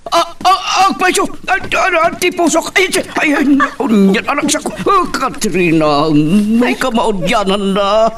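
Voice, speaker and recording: A man's voice: extremely high pitch, expressive, moderate pace, hardly any pauses, pushed and bright, distant mic, slight background noise, full character voice. An Ilocano man acting an older widowed employer in a romance drama, lovestruck and pleading in a melodramatic way. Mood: overjoyed.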